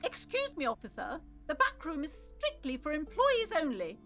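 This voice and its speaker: A man voicing a pushy, insistent female shopkeeper in a high falsetto while reading game dialogue aloud. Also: wildly swooping pitch, normal speed, sharp tone, soft background music, exaggerated voice. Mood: coaxing. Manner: high falsetto, swooping, coaxing and bossy.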